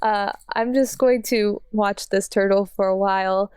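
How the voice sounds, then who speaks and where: A woman's voice: medium pitch, steady tone, measured pace, darkish tone, smooth, no echo. A woman chatting informally as a player in a tabletop roleplaying game, describing what a character does. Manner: informal, conversational. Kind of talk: casual improvised roleplay chat.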